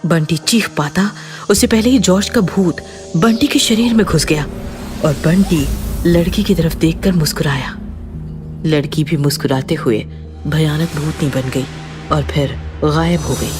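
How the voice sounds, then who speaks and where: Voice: mid-range voice, unhurried, music in the background. A narrator reading a scripted horror story.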